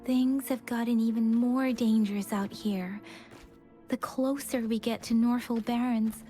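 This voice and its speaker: A woman voices a watchful young female scout in a dramatic game story. Her somewhat high voice is careful and grave, set over soft music. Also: fairly even intonation, measured pace, natural voice. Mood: grave, worried. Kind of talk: dramatic video game voice acting.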